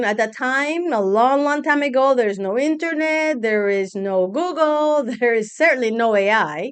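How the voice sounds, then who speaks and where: A woman's voice: fairly high pitch, smooth. A middle-aged woman from Guatemala, a business coach, telling a personal story to camera in a candid, conversational way with self-deprecating asides.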